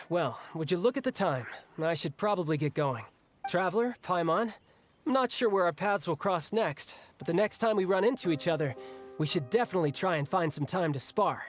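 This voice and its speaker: Easygoing young fighter, playful, laid-back. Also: male voice, sits high, lively intonation, moderate pace. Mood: breezy. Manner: breezy, casual, playful. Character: cocky, easygoing young male fighter, wounded. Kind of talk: video game voice acting. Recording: game audio over soft music.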